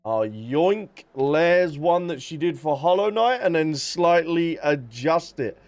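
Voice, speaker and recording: A man's voice: on the high side, lively intonation, takes it slowly. A man hosting a livestream, talking casually and informally to his viewers in a chatty way. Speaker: live streamer, host.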